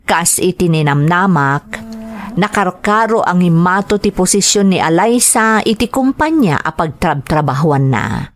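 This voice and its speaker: Expressive Ilocano woman narrator. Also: lowish voice, unhurried. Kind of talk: dramatic scripted narration of a letter.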